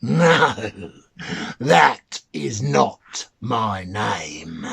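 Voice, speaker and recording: A nasty little goblin-like mannikin, slow drawn out gloating, husky, storybook villain. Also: male voice, mid-range voice, sing-song, fairly bright tone, pitched below the performer's own voice, full character voice. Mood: smug, menacing. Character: gloating, spiteful little mannikin who bargains for a child.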